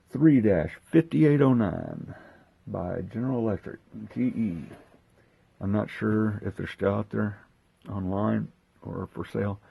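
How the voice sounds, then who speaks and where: A man giving a casual hands-on demonstration, thinking aloud in an unhurried way as he handles the gear. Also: lowish voice, vocal fry, dark tone, slightly rough. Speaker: host of a gear unboxing and testing video.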